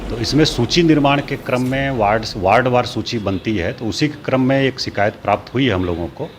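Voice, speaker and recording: A man speaking as an official, giving a halting statement to the press. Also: mid-range voice. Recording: noisy interview sound.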